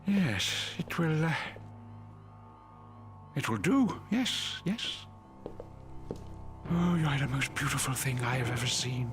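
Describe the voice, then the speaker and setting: A man's voice: medium pitch, lively intonation, slow, pauses often, fairly bright tone. Man acting a doddering, awestruck old grandfather, music behind. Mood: hurried, flustered. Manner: hesitant, repeats himself. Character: elderly time-travelling alien grandfather, doddering yet awestruck fugitive. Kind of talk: audio drama acting.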